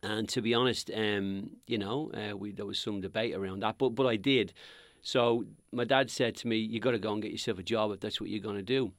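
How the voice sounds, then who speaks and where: Middle-aged man, Dublin accent, rambling podcast guest; low voice, dry recording. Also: steady tone, brisk, few pauses, no echo. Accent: Dublin Irish. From Ireland. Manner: rambling, many ums and restarts. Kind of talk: casual podcast interview.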